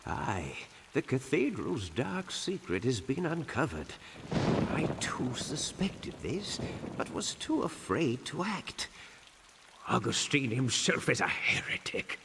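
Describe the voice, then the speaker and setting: A grave, frightened monk from a dark video game, confides in hushed, archaic words. His delivery is slow and gravelly, with dramatic rises and falls, over clear rain noise. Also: mid-range voice, very animated, exaggerated voice. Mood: resigned, fearful. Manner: hushed, conspiratorial, archaic and solemn. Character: fearful, grave old-fashioned monk confiding dark secrets.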